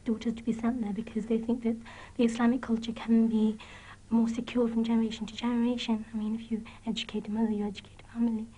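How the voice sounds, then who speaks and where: A teenage girl speaks quickly in a husky, mellow voice. Her pitch stays fairly flat as she gives an interview opinion. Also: rough recording. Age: teens. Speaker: student interviewee. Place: small indoor classroom.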